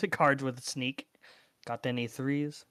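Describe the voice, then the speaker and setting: A man's voice: medium pitch, fairly even intonation, slow pace, a bit bright, no echo. Man roleplaying at a game, dry close sound. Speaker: tabletop roleplay player. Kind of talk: casual in-character roleplay banter.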